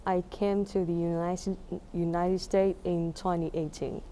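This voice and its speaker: A woman's voice: fairly low pitch, little pitch movement, a little slow, dark tone, a little hiss or hum. A young woman from Taiwan with a Taiwanese accent, answering interview questions casually and searching for words as she goes. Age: young adult. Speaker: musician being interviewed.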